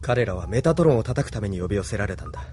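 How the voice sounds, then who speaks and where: A man's voice: on the low side, expressive, very fast, dark tone, slight background noise, natural voice. An actor playing a serious young male ace pilot reporting and giving orders. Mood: earnest.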